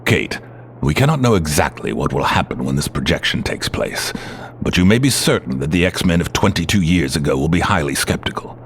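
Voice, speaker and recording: An actor playing an aged, grave mutant leader in an audio drama, speaking in measured, formal, commanding phrases. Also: male voice, rumbling low, expressive, a little hiss or hum.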